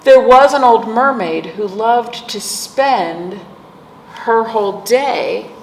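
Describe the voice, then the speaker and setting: A woman's voice: on the high side, lively intonation, slow. A woman hosting storytime for young children, reading aloud expressively in a sing-song way and putting questions to her listeners. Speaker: children's librarian, storytime host. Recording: echoey reverberant room, clear background noise.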